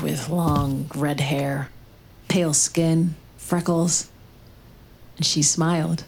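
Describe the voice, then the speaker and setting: An actress playing a wary, grieving widow in an audio drama, blunt and sardonic in naturalistic delivery. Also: female voice, low-pitched, darkish tone, slight background noise. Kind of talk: naturalistic audio drama acting and first-person narration.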